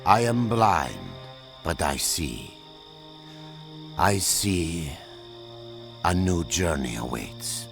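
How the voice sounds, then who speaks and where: A blind old seer in an ancient-world video game. He is deep and grave, delivering cryptic portents slowly with wide, dramatic swings of pitch. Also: full character voice. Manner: solemn, portentous, oracular delivery. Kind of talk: video game cutscene acting.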